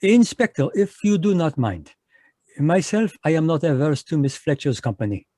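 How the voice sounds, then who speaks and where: An actor playing a fussy, courtly Belgian detective, in a live radio drama over a video call. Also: male voice, a little high, big pitch swings, normal speed, dark tone, no room sound, studio quality. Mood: smug, courtly. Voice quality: precise, courtly French-inflected delivery. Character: fussy male Belgian detective, polite and sly.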